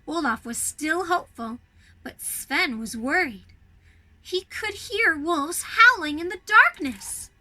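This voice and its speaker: A woman reading a storybook to children as a snow queen, expressive and speaking directly to her young listeners. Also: very high voice, slow pace, poor audio.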